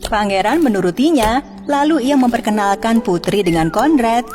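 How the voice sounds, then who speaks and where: A woman's voice: a little high, lively intonation, normal speed, a little mellow, in a large hall, faint music behind. A woman storyteller giving scripted narration of a children's fairy tale.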